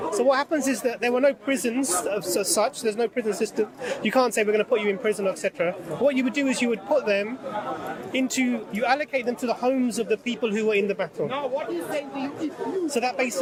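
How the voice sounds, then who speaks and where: High-pitched man explaining amid outdoor crowd chatter. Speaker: religious debater. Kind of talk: impromptu street explanation. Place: outdoors in a busy public place.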